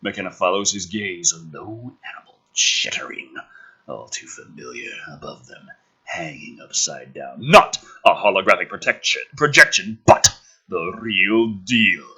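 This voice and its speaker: A man's voice: sits low, expressive, a little slow, bright, forceful tone, husky. A man reading a script dramatically and breaking off into sarcastic, exasperated, sweary commentary as the host.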